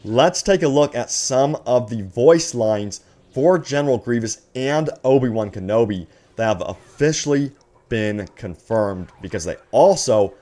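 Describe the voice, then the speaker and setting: Excited man presenting video game news. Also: medium pitch, a little slow. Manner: excited, addresses viewers as guys. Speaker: gaming news channel host. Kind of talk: enthusiastic video game news commentary, partly reading announcements aloud.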